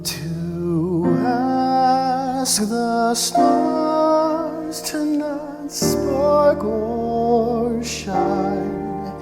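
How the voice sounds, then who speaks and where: A man's voice: very high-pitched, fairly even intonation, drawn-out words, heavily acted. A man playing a tender, sorrowful character, singing in a musical theatre staged reading. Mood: mournful, pleading. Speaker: actor-singer in a musical. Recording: echoey hall, loud music accompaniment.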